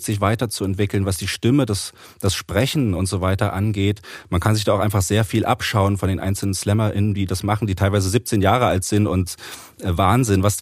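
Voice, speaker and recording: A man's voice: lowish voice, darkish tone, dry recording, studio quality. A man speaking as an interview guest, explaining things casually and a little hesitantly as he thinks aloud. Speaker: interview guest, author and poetry slammer.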